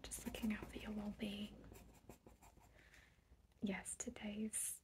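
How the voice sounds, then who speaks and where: A woman's voice: mid-range voice, little pitch movement, slow pace. Whispering young woman, ASMR eye exam roleplay. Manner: gentle, reassuring, attentive. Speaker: ASMR performer playing an eye examiner. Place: small room indoors. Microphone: close mic, leaning in near the camera.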